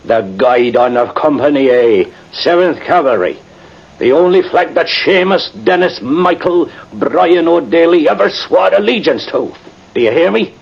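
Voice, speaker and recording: An actor playing a proud, sentimental, tipsy Irish cavalry sergeant in a radio drama, rambling and halting with emphatic turns. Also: male voice, on the high side, lively intonation, vocal fry, slightly rough, full character voice. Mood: fervent, sentimental. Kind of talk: 1950s radio drama acting. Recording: muffled, noisy vintage radio recording.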